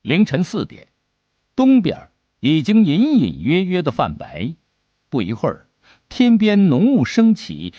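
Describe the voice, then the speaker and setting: A man narrating an adventure novel as an audiobook, with expressive, deliberate dramatic storytelling. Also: mid-range voice, no room sound, dull audio.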